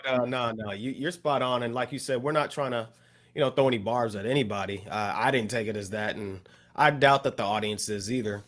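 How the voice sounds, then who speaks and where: A man's voice: medium pitch, fairly quick, a bit bright. A man co-hosting a podcast, talking things through in a thoughtful, loose way with many fillers and restarts. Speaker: podcast co-host. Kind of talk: casual discussion.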